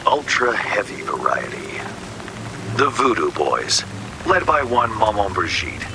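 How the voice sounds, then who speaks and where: An actor playing an urbane, cagey fixer in a video game, with a breathy murmur and florid, wry, theatrical phrasing. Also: male voice, on the low side, very animated, measured pace. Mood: sly, measured. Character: urbane, cagey underworld fixer man with sly wit. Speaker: game character, underworld fixer. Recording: rain and ambient noise behind.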